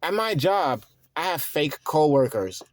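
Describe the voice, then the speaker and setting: A man in his thirties, tired and stressed, talking in a rambling, halting way with frequent restarts in a reflective personal monologue. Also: a little high, big pitch swings, no room sound, studio quality. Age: 30s. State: tired and worn out. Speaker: vlogger.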